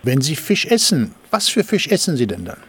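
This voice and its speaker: A man conducts a conversational radio interview, asking questions and now and then trailing off mid-thought. His voice sits a little high for a man. His intonation swings widely. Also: wildly swooping pitch, moderate pace, fairly bright tone, breathy edge. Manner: conversational, probing questions with hesitations.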